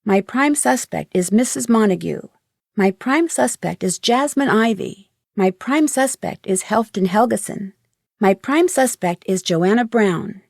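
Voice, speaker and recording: An actress playing a polite, inquisitive young female amateur detective in a video game, speaking earnestly and clearly. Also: mid-range voice, darkish tone, no echo, studio quality. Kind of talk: scripted video game dialogue.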